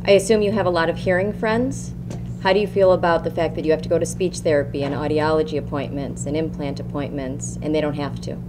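A woman with a notably low, deep voice leads a panel, putting questions to the speakers in a measured, even tone. The recording from the conference room carries a clear hiss and background noise. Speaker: panel moderator.

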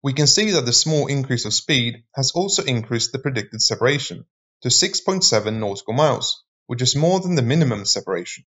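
A man reading scripted explainer narration in a measured, formal manner. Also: medium pitch, expressive, fairly bright tone.

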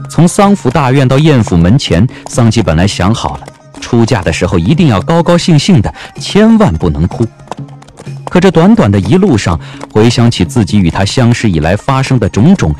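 A man narrating a novel as an audiobook, with measured, literary and dramatic phrasing. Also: on the low side, darkish tone. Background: background music.

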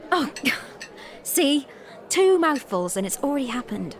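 A woman's voice: high-pitched, sing-song, measured pace. An actress playing a playful, teasing young woman in a radio drama. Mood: nervous, playful. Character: teasing, warm-hearted, self-confessed clumsy young woman. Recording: music and crowd chatter behind.

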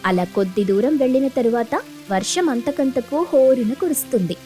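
A woman with a high voice narrates a children's story as a storyteller. The delivery is scripted narration, with music playing behind her. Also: expressive, normal speed, dark tone.

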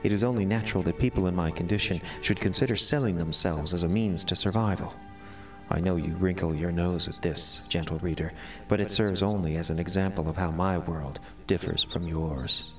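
An actor playing an eloquent, melodramatic cat who sees himself as a prisoner, speaking in formal, florid, theatrical monologue. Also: male voice, sits low, brisk, fairly bright tone, recorded from a distance. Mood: proud, resentful. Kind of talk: dramatic first-person audio drama monologue. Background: music.

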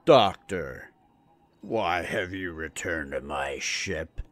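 A man's voice: deep voice, expressive, slow, very noisy. A man playing a menacing alien warrior commander in a sci-fi audio drama, with a grave, declamatory delivery. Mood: stern.

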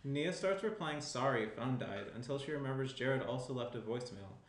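A man's voice: medium pitch, lively intonation, brisk. A young man reading scripted screenplay narration as the narrator. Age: young adult. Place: small indoor room at a round table. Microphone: shared desk microphone. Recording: roomy echo, faint noise.

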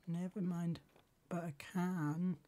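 A hoarse woman with a lingering cold streams a game, clearing her throat between slow, drawn-out remarks. Her voice sits low and sounds dark and mellow. She mutters little halting asides to herself as she plays. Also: level tone. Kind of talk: casual live game commentary.

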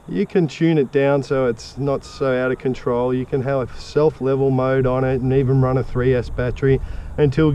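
A middle-aged man talks plainly and with easy enthusiasm, his voice warm and mellow. He is out in an open park, caught on a camera mic, with faint outdoor noise behind him. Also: medium pitch. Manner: relaxed, plain-spoken, enthusiastic. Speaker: drone pilot reviewer. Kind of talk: casual outdoor product review.

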